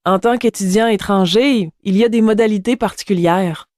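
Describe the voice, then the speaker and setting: A matter-of-fact young female student, acted by a woman in a scripted exam dialogue. Her voice is medium-pitched and the recording dry. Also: moderate pace, darkish tone, no room sound, lightly acted.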